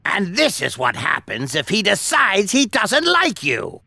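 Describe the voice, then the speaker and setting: A man's voice: high-pitched, wildly swooping pitch, unhurried, vocal fry, bright, forceful tone, dry recording, studio quality, full character voice. An actor playing a cruel alien henchman in an anime game, mocking and gloating as he threatens. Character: cruel, taunting male alien henchman of a tyrant. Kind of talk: dubbed anime game acting.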